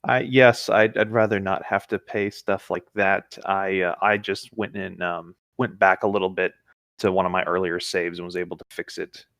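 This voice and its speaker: A man's voice: low-pitched, level tone, moderate pace, vocal fry, a bit bright, no echo. A man reading a story aloud as co-host in a casual, conversational way, with dry asides.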